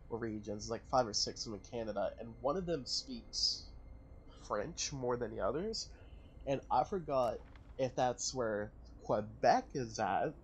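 Rambling man over faint music. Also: medium pitch. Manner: rambling, thinks aloud, self-correcting. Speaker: gaming channel host. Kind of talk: casual let's-play commentary.

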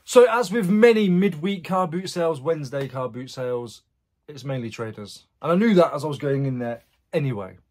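A man's voice: a little high, lively intonation, brisk, a little mellow. A man in his forties talks through his haul in an easy, matter-of-fact vlog manner. He sounds frank and chatty, sitting in a small room with bare block walls. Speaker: reseller vlogger. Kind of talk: casual vlog chat.

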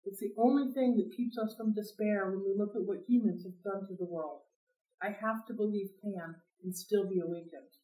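A woman's voice: mid-range voice, moderate pace, mellow, lightly acted. Woman reading as a sad young satyr. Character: earnest young male satyr, gentle and sad. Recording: roomy sound, mic at a distance.

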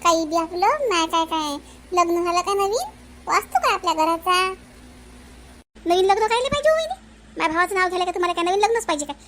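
Very shrill village woman acted out. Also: animated, fast talker, a bit bright, slight background noise. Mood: teasing. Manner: teasing, complaining, folksy. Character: teasing, chatty village women of a family. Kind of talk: comedy cartoon dialogue.

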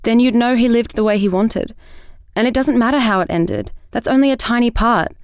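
A woman's voice: a little high, moderate pace, clean tone, no room sound, studio quality, close to an everyday voice. An actress playing a defiant young woman, an explorer's grieving daughter, in a naturalistic audio drama. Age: young adult.

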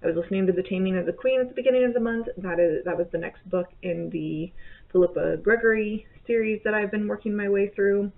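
A woman's voice: medium pitch, quick pace, gravelly. A woman in her thirties chatting casually at home about her month's reading, rambling and correcting herself. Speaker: book vlogger. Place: bedroom or home office, indoors. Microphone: webcam at desk. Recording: tinny phone-like sound.

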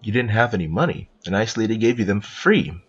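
A man's voice: on the low side, lively intonation, fast talker, husky. A man playing a playful, doting narrator who tells a cartoon storybook to a small child, in slightly dull sound. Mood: cheerful. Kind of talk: second-person storybook narration.

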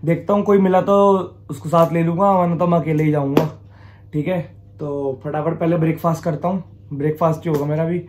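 A young man with a slightly high voice, chatting through his daily vlog while holding the phone camera at arm's length. Faint noise sits behind his voice. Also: steady tone, a little fast. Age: young adult. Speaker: vlogger. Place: small room at home and outdoors.

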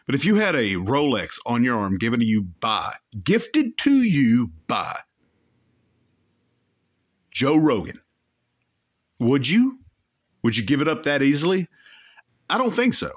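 Male podcast host, opinionated rambler, very lively pitch. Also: unhurried, long pauses. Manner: rambling, opinionated, self-correcting. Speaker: podcast host reacting to a clip. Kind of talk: casual commentary.

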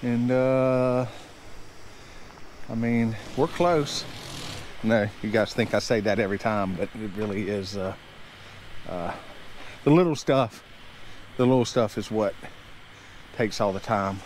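A man's voice: on the low side, slow pace, pauses often, darkish tone. Man vlogging outdoors with background noise. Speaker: builder hosting a build vlog. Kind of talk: casual walkthrough narration to camera. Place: outdoor construction site. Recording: outdoor site noise, faint vehicle sounds.

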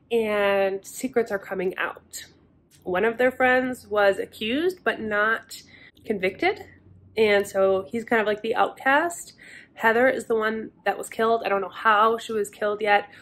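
A woman's voice: medium pitch, a bit bright. A woman in her thirties talking casually to a handheld phone camera about a book, frank and chatty with the odd swear word. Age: 30s. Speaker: book vlogger. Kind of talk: casual reading vlog chat. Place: hotel room and car, indoors. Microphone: handheld phone camera at arm's length.

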